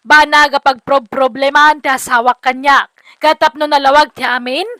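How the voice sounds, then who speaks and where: Casting: troubled Ilocano wife narrating a radio drama, bright high voice, close dry sound. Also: female voice, fairly even intonation, moderate pace, no echo. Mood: aggrieved.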